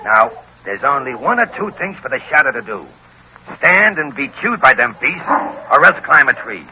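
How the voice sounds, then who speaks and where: Hard, sharp-edged gangster boss. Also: male voice, mid-range voice, sing-song, moderate pace, poor audio. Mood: grimly scheming. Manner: tough, slangy, ungrammatical talk. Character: ruthless, commanding gangster boss hunting with dogs. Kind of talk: 1930s-1940s radio acting. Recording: old radio recording with faint noise.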